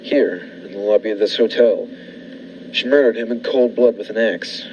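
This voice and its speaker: A man's voice: on the low side, moderate pace, darkish tone, a little hiss or hum, lightly acted. A man playing a brusque, controlling hotel-owner bridegroom in an old-fashioned radio thriller, with a curt, ominous delivery. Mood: grim, ominous. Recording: muffled lo-fi radio play with music.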